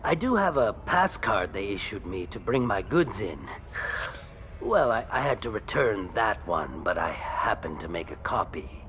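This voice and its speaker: A fussy, oily alien trader with a lively, swooping delivery. He wheedles nervously like a crooked salesman in a game, over faint ambient noise. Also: on the low side, measured pace. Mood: cooperative, cautious. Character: small, shifty male alien merchant, oily and nervous. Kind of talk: video game character acting.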